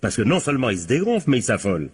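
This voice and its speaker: Husky, insistent police captain. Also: male voice, mid-range voice, huge pitch swings, very fast, heavily acted. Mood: sardonic, probing. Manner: colloquial, pressing, prodding with short tag questions. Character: male police captain, insistent, sardonic interrogator. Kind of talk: French radio crime drama acting.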